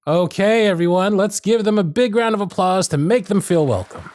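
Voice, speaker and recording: Showy stage magician, played by a man, higher-pitched and very animated, grand theatrical phrasing, audio roleplay. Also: no echo, clean studio sound. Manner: grand projected patter, drawn-out dramatic phrasing. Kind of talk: ASMR roleplay stage performance.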